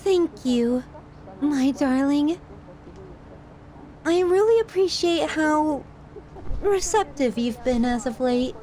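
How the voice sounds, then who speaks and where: Sadistic yandere lover, played by a woman for horror ASMR: obsessive, menacingly affectionate, very lively intonation, unhurried. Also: high voice. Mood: sweet, smug. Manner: theatrical, swings from sweet to scornful, sighing. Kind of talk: ASMR horror roleplay monologue.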